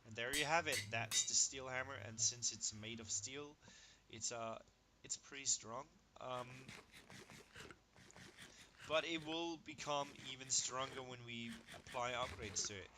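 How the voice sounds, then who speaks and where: A man with a Danish first language talks through his game in an easy, chatty way. He gets excited over each result and does sums aloud, over a clear steady hiss. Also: mid-range voice, unhurried. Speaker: gaming video creator. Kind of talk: casual gameplay commentary.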